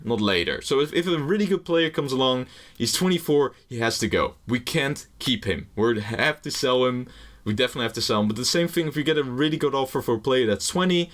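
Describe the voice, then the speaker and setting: Rambling gaming commentator. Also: male voice, medium pitch, expressive, brisk, fairly bright tone. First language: Dutch. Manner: rambling, jokey, swears casually; nervous first-video energy. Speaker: gaming YouTuber. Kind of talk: casual game commentary.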